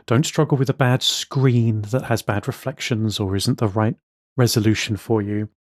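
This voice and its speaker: A man's voice: lowish voice, fairly even intonation, moderate pace, no room sound. A man co-hosting a casual podcast, opinionated and wry, with dry sarcasm in how he talks. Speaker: podcast co-host, programmer.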